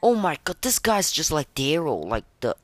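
A man hosting a podcast in casual, slangy, excitable chat, reviewing game voice lines. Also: fairly high pitch, normal speed, soft background music. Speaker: podcast host.

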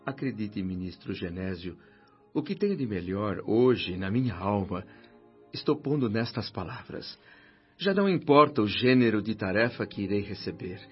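An actor playing a humble, earnest former doctor in a radio drama, speaking formally and solemnly. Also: male voice, lowish voice, animated, moderate pace, lightly acted. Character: humble, earnest former doctor, newly arrived spirit, narrating his afterlife. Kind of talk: radio drama acting and first-person narration. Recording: dull lo-fi radio drama with music.

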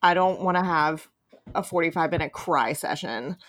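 A woman's voice: sits low, normal speed, a bit bright. A woman hosting a casual podcast discussion, speaking in an enthusiastic, emphatic and playfully teasing way. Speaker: podcast host.

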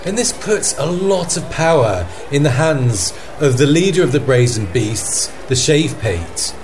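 A man acts as an earnest male correspondent reporting from a fantasy war. His voice is fairly high and very animated in pitch, with measured emphasis. Faint ambient noise runs behind him. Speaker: field correspondent. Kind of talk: radio news report roleplay.

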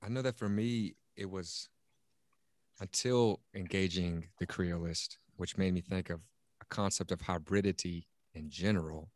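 A man's voice: sits low, fairly even intonation, a little slow, no room sound. A man from Louisiana in a class discussion, hesitant, with many fillers and restarts. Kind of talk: casual discussion.

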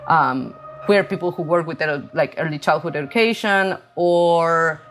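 Chilean-accented woman in her forties, low voice, unhurried halting artist interview. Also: a bit bright, soft background music. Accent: Chilean Spanish. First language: Spanish. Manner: halting, many fillers and restarts. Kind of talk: interview monologue about her art.

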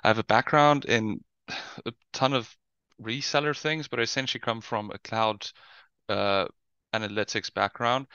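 Crisp, bright-voiced South African man. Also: lowish voice, no room sound, muffled recording. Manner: conversational, with many fillers, restarts and tag questions. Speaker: guest presenter, business intelligence lead. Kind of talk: webinar presentation.

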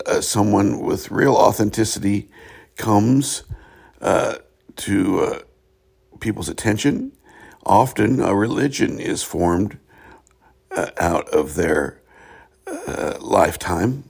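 A hoarse middle-aged man hosting a reflective spiritual talk show, speaking in a halting way with many pauses. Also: fairly low pitch, a little mellow. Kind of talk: reflective solo monologue.

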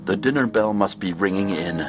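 A man playing a suave, eerie anthology narrator, speaking in a measured, wryly ominous way. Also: lowish voice, natural voice. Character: suave eerie narrator in Twilight Zone host style. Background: music.

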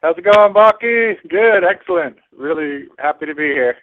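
A man's voice: high-pitched, lively intonation, moderate pace, no echo. A man calling in as guest co-host, laid-back and easygoing in casual chat. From California. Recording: dry phone line.

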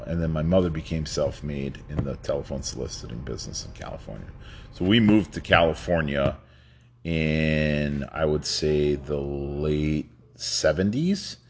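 A man's voice: extremely low pitch, darkish tone, a little hiss or hum. A man in his fifties from New York, telling his life story as a guest in a rambling, casual way with frequent laughs. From New York, United States. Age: 50s. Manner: rambling, laughs often, many fillers and restarts.

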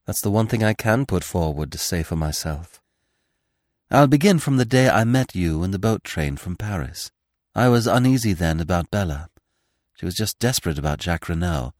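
A man's voice: sits low, expressive, moderate pace, a little mellow, dry recording. A male narrator takes the part of a young woman confessing in a farewell letter. The audiobook reading is earnest and remorseful, recorded very dry. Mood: weary, regretful.

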